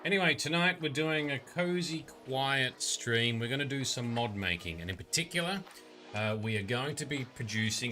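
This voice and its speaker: A man hosting a relaxed live stream, chatting casually with lots of fillers about his mod making. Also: mid-range voice. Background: faint radio music.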